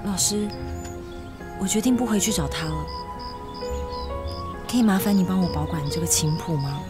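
A woman's voice: on the low side, moderate pace, long reverb tail, close to an everyday voice. A woman playing a sad, earnest teenage schoolgirl in a radio drama. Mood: subdued, pleading. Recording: loud music over the voice.

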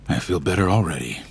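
An actor playing a weary, anxious scientist in a video game, dry and given to sighing. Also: male voice, low-pitched, vocal fry, slight background noise, heavily acted.

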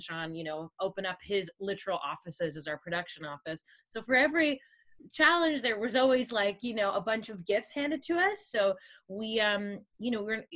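A woman in her thirties from Toronto, an actress talking in a casual interview over a video call, animated and full of fillers. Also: lowish voice. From Toronto, Canada. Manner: animated, many fillers and restarts. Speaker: actress and producer, guest. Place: home room, indoors, green wall.

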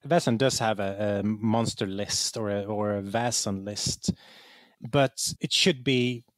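A man giving conversational explanations as an interview guest, halting and correcting himself as he searches for words. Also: on the low side, dry recording. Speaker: guest, game writer.

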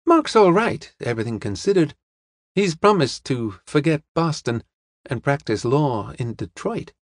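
A man's voice: fairly high pitch, sing-song, a little slow, no room sound, studio quality, voice pushed up. A man voicing an eager, playful young American woman in an audiobook, with a breezy, informal way of talking. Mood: playful, happy.

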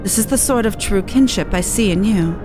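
A woman's voice: mid-range voice, normal speed, music in the background, lightly acted. A woman voicing an earnest seventeen-year-old fantasy artisan with formal, archaic phrasing, reading game dialogue aloud. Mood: earnest encouragement.

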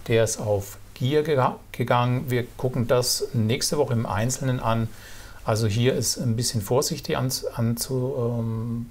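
A middle-aged man giving casual stock market commentary to camera, relaxed and halting, with many fillers and restarts. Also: lowish voice. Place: indoor studio with virtual backdrop.

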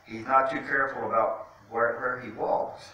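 Middle-aged male storytime reader, bright forceful tone, recorded well away from the mic. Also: medium pitch, steady tone, moderate pace, lo-fi sound. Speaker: guest storytime reader, city official. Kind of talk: reading a picture book aloud to children. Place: indoor room, seated by a fireplace mantel. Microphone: distant camera microphone. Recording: very echoey room, distant mic, faint noise.

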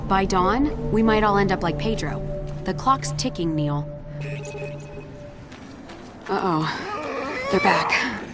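An actress playing a brave, determined young American survivor in a video game drama, earnest and resolute. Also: female voice, medium pitch, slow, long pauses, music in the background. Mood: resolute, tense. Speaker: voice actor.

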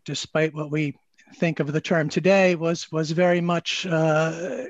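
A man speaking as a historian on a panel, explaining in a halting, thoughtful, scholarly way over a video call. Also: a little high, level tone, no room sound. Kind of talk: unscripted panel discussion, explanatory. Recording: dull, lo-fi video-call audio.

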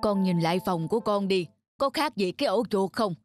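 A woman voicing a nagging, sarcastic middle-aged mother in a family cartoon, scolding her daughter briskly over background music. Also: medium pitch, lively intonation, natural voice. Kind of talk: cartoon dub acting.

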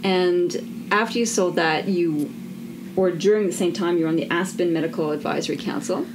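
A woman with a Canadian accent hosting a podcast interview, chatty and quick to laugh. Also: on the low side, background noise. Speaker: podcast host. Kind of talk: casual interview chat.

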